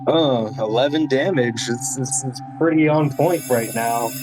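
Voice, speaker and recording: A man's voice: medium pitch, a little slow. A man chatting casually and playfully as a player in a tabletop game. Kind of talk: casual chat during a game. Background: clearly audible background music.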